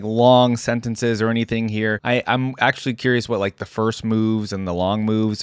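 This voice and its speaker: A man's voice: mid-range voice, clear, resonant tone, no room sound. A man hosting a reaction video, chatting and musing informally in casual commentary, close to the mic. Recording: dry close mic, faint music behind.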